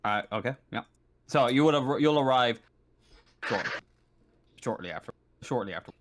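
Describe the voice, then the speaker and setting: A man improvising scene narration as a game master, conversational and halting, often correcting himself. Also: medium pitch, animated.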